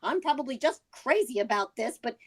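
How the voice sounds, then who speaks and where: Insistent, worried wife played by a woman, old-time radio acting; high voice with big swings. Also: animated, a little fast, husky, heavily acted. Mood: urgent pleading. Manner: urgent, breathless pleading. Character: anxious, pleading, insistent wife hunting for answers. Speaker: actor in radio drama. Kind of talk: vintage radio drama acting.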